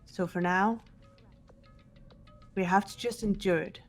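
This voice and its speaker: A woman voicing a calm, stoic and reassuring young woman in a fan-dubbed game, measured and composed. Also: mid-range voice, soft background music. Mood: reassuring resolve.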